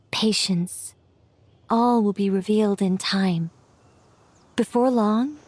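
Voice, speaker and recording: A woman's voice: medium pitch, darkish tone, no room sound. An actress playing a whimsical, composed female mage in a video game, with a leisurely, musing and playfully evasive manner. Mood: serene, cryptic. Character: whimsical, composed female mage, once an angel, young-sounding. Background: background music.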